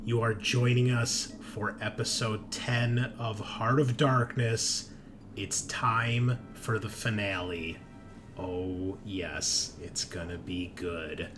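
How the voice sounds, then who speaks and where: A man's voice: on the low side, fairly even intonation, takes it slowly. Casual male host over music. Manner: chatty, sweary, informal. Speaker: host and game master of a tabletop show. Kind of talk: casual unscripted show intro banter. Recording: music playing behind the voice.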